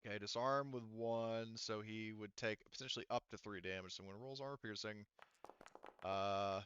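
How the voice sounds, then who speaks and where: A man's voice: fairly low pitch, steady tone, normal speed, a bit bright, clear, resonant tone, dry recording, dull audio. A man running a tabletop role-playing game as game master, talking rules casually and swearing freely.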